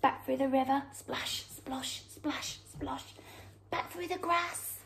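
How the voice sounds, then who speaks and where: A woman reads a children's story aloud in a somewhat high voice. She takes it very slowly, stretching words theatrically and shifting into hushed tones for effect. The recording is made in a room at home with a little background noise. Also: gravelly. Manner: expressive and theatrical, with drawn-out words, hushed whispers and sound words. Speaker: teacher reading a picture book aloud to young children. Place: small room at home, indoors.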